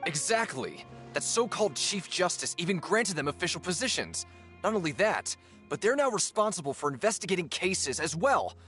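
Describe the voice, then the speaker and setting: A man playing a resentful, conspiracy-minded townsman in a video game, husky and accusing. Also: high voice, sing-song, normal speed, a bit bright, exaggerated voice. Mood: suspicious, resentful. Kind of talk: video game character acting. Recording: music behind the voice. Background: game music.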